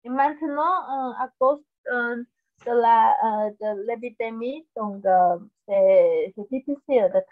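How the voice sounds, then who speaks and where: Taiwanese woman, Mandarin native, hesitant French learner, higher voice, phone-like audio. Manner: slow, hesitant French learner with many pauses. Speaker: interview guest. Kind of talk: casual interview chat. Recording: tinny phone-like line.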